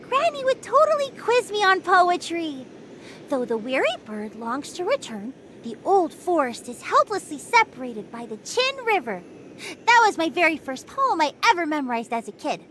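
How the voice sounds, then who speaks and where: Squeaky, naive little girl. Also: moderate pace, slight background noise, heavily acted. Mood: childlike, reciting. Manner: childlike, lively. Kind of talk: anime game voice acting.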